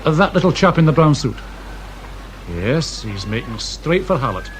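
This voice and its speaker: An actor playing a mild, apologetic police sergeant in a radio drama, respectful and hesitant. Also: male voice, on the high side, very animated, normal speed, background noise. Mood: watchful. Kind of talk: British radio drama acting.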